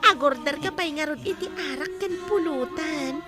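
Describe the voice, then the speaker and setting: A woman's voice: high-pitched, normal speed, close to an everyday voice. A woman playing a coy hostess of a drinking spot in an audio drama, coaxing a customer. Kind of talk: Ilocano radio-style audio drama acting. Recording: loud music under the voice.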